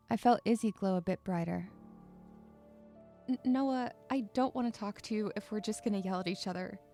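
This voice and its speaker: Woman acting anxious young woman with creaky voice. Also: medium pitch, mellow, lightly acted. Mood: tense narration, then strained, hesitant. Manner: hesitant, stammering in dialogue, introspective in narration. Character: anxious, withdrawn young woman narrating her own story. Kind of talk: first-person dramatic narration with dialogue.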